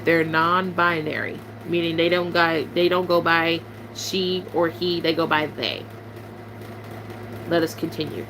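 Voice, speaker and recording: A woman's voice: on the low side, level tone, measured pace, noisy background. A young woman YouTuber, venting bluntly and sarcastically to her viewers in a casual chat.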